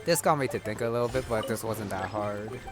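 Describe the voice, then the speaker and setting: A man giving casual game commentary, thinking aloud in a low-key way as he plays. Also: fairly quick. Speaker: gamer, playthrough host. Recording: voice over loud game music. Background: loud game music.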